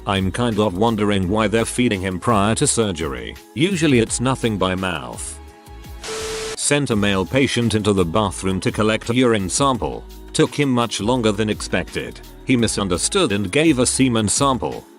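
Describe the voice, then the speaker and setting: A man narrating Reddit stories in a steady scripted read. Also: lowish voice, moderate pace. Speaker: narrator reading Reddit posts. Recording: faint music behind the voice. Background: soft background music.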